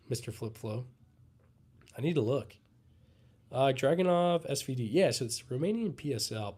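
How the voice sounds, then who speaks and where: A young man who is sick and losing his voice, casually chatting and answering viewers' questions on a livestream. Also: medium pitch. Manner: relaxed, rambling, answers chat comments. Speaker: livestream host. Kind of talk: casual live Q&A chat.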